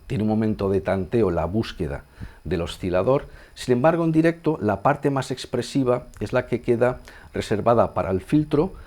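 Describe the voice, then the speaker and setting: A man with a fairly low voice and an accent from Spain walks the listener through equipment. He talks off the cuff like an instructor, explaining step by step in a conversational way and checking that the listener follows. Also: dark tone. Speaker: sound engineer giving a synthesizer tutorial. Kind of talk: unscripted tutorial demonstration.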